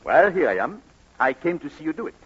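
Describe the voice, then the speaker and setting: An actor playing a flirtatious, teasing playwright with a playful air of feigned innocence in a radio romantic comedy. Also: male voice, on the high side, very animated, brisk, a bit bright, a little hiss or hum. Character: flirtatious, teasing male playwright, persistent charming patient. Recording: dull lo-fi old radio recording.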